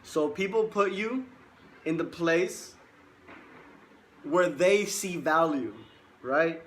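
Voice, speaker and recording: Guy in his 20s, kinda high voice, bragging coach talk, keeps repeating stuff for emphasis. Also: slow, a bit bright, slight background noise. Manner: boastful and emphatic, repeats himself for stress. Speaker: life coach. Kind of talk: motivational monologue.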